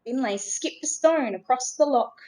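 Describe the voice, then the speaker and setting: A woman narrating a children's storybook expressively, in a measured way with dramatic emphasis. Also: high-pitched, warm tone, low-quality recording. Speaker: storybook reader.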